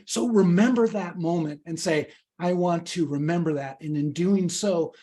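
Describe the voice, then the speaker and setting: A man leading a public speaking workshop, teaching in an animated, emphatic, conversational way with a slightly husky voice. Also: fairly high pitch. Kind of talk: instructional talk with questions and answers.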